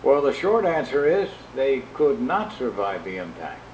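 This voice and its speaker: A man's voice: medium pitch, big pitch swings, measured pace. A man playing a cautious, learned scientist who speaks formally and hesitantly in a radio drama interview. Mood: guarded, careful. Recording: echoey room playback, faint noise.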